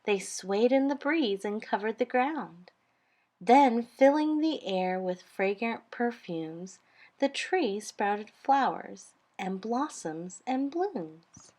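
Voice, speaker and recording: A woman reading a children's storybook aloud in an expressive, deliberate, rhythmic way. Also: on the high side. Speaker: storytime reader.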